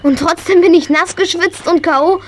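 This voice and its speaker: A woman's voice: very high-pitched, fairly even intonation, moderate pace. A female actor playing a spirited, eager young horse-mad girl in a 1980s children's audio drama, pert and exclamatory. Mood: exhausted, pleased.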